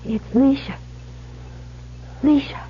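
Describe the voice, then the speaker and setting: An actress playing a determined, self-possessed woman with an earnest, deliberate manner in a 1970s radio drama. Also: high-pitched, slight background noise. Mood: urgent, soothing. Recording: dull old radio broadcast with music.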